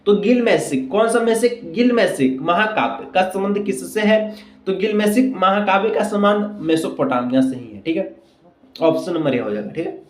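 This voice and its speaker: A man's voice: high-pitched, normal speed. A man teaching exam questions and answers, repeating himself and asking rhetorical questions as he goes. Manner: repetitive, frequent check phrases and rhetorical questions. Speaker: teacher, exam-prep video host. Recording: echoey room sound.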